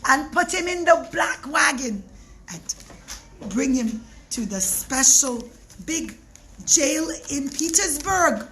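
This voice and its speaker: Lady putting on an angry bossy king, yelling, way up high and bright. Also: expressive, slow, slight background noise, full character voice. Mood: commanding. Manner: shouting, indignant. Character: boastful furious male king, the Tsar.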